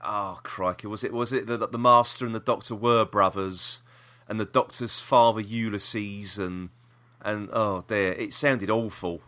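A man's voice: lowish voice, steady tone, unhurried, sharp tone. A British man hosting a podcast in casual chat, hesitant and rambling, often repeating himself. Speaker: podcast host.

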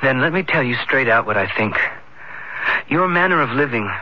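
A man's voice: medium pitch, animated, normal speed, natural voice. An actor playing a concerned, loyal young male student friend, speaking earnestly and solicitously in an old-time radio drama. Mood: earnest, frank. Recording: dull vintage radio broadcast recording.

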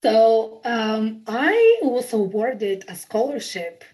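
A woman's voice: fairly high pitch, big pitch swings, takes it slowly, darkish tone, poor audio. A woman in her thirties with a Bulgarian accent, a podcast guest chatting casually, bubbly and laughing often at herself. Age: 30s. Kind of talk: casual interview chat.

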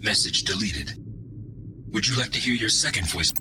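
A man's voice: lowish voice, animated, normal speed, background noise, natural voice. A man playing a formal, courteous artificial intelligence that serves an undersea base in a science fiction audio drama. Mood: calm, dutiful.

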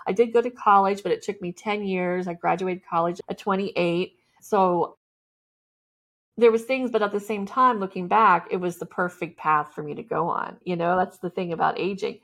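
A woman's voice: on the low side, fairly even intonation, normal speed, fairly bright tone. A woman guest candidly telling her life story in a casual interview, often laughing as she talks.

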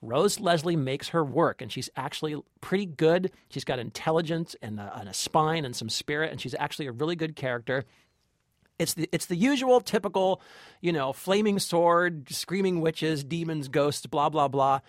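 A man's voice: a little high, moderate pace, no echo. Enthusiastic man reviewing movies, dry studio sound. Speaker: film review podcast co-host. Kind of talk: casual movie review chat.

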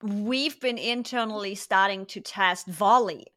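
Bright-voiced German-accented woman host. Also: medium pitch. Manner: chatty, curious, quick asides. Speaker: podcast host. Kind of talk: casual interview chat.

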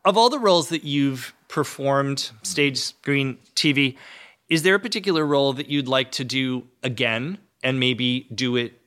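A man's voice: medium pitch, a bit bright. Courteous man hosting a podcast, mid-range voice. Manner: courteous, measured, formal. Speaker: podcast host, interviewer. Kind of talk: podcast interview.

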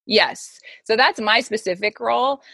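A woman's voice: on the high side, measured pace, gravelly, sharp tone, breathy edge, no echo, clean studio sound. A woman in her thirties talking casually as an interview guest, candid and emphatic, sprinkling in "like" and voicing quotes as she goes. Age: 30s. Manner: candid, emphatic, peppers talk with "like" and acted-out quotes. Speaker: interview guest, modeling agency founder and mentor.

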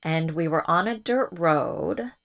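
A woman's voice: low-pitched, expressive, takes it slowly, creaky, exaggerated voice. A woman acting a drowsy, sensible, uneasy character trapped in a capsule in an audio drama, hesitant and full of exclamations. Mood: puzzled, hazy.

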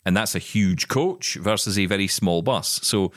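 Podcast co-host, male, low voice, loose rambling delivery, close dry mic. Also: normal speed, a bit bright, no echo. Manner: rambling, repeats words when starting sentences. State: blind, describing progressive vision loss. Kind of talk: casual chat.

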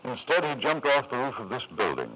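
An actor playing a powerful middle-aged tycoon in a radio drama, with halting, smooth-talking insistence. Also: male voice, mid-range voice, big pitch swings, lightly acted. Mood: hesitant charm. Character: powerful, self-satisfied middle-aged British tycoon, hesitant in charm. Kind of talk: 1950s radio drama acting. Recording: dull, noisy 1950s radio recording.

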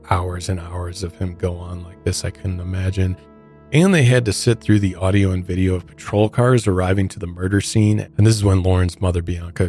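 Male American podcast host, deep register, conversational true-crime narration, faint music underneath. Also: a little mellow, breathy edge.

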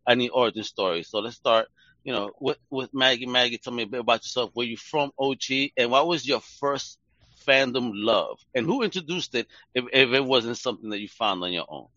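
A male podcast host who talks with a bright, forceful edge and slangy, excited energy, laughing between questions. The room sounds dry and close. The audio is a bit dull and lo-fi. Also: medium pitch, fairly quick, dry recording. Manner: hyped-up, slangy, laughs a lot. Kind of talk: casual interview chat.

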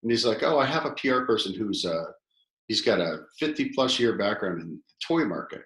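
A man in his fifties, a company founder telling stories in a casual interview, rambling and animated with many fillers. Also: on the low side, brisk. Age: 50s. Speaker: guest, toy company founder. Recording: echoey room.

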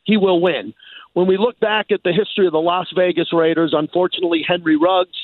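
A man from New York in animated sports talk as a radio guest, speaking emphatically and repeating phrases for effect. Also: high voice, brisk, dry recording. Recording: phone-like thin band.

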